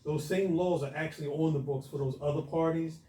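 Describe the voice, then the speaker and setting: A man hosting a radio talk show, putting opinionated questions in a measured way. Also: fairly high pitch, fairly even intonation, warm tone, echoey room, recorded from a distance, low-quality recording. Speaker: radio host. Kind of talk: radio interview.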